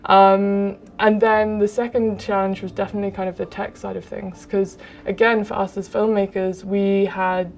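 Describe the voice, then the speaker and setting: A young adult woman, a game director, explaining her work in an interview with many pauses of "um". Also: medium pitch, soft background music. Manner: even, explanatory, with frequent "um". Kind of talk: interview answers.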